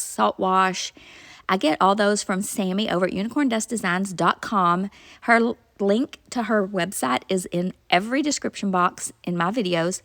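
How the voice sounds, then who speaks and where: A woman's voice: mid-range voice, fairly bright tone, dry recording. A woman hosting a rustic craft tutorial, talking through each step in a chatty, homey voice-over. She sounds a bit nasal from a cold. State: nasal from a cold, sore throat. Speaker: craft channel host.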